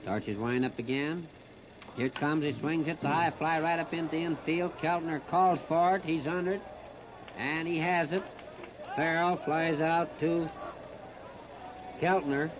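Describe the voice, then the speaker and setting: A man's voice: fairly high pitch, fairly even intonation, unhurried, pauses often. An American man calling baseball play-by-play in a 1930s radio style, in short clipped phrases. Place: outdoor ballpark broadcast. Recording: noisy, muffled 1939 radio disc recording.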